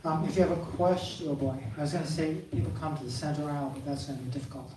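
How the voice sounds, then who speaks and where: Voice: medium pitch, racing. A moderator hosting a live forum, giving instructions hesitantly with fillers and self-corrections. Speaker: event moderator. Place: large hall at a live forum. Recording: echoey hall, far from mic, audible room noise.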